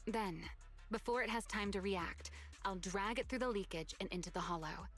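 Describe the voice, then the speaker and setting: An actress playing a composed, wistful young female fighter in an anime game, speaking in a measured, earnest way. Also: mid-range voice, a bit bright, close to an everyday voice. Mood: resolute. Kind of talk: scripted anime game acting. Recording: game music behind the voice.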